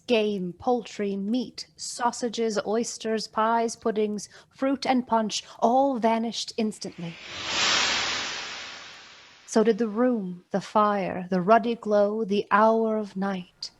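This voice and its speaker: A woman narrator reading a scripted story for a radio play in a measured, theatrical storytelling manner. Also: mid-range voice, steady tone.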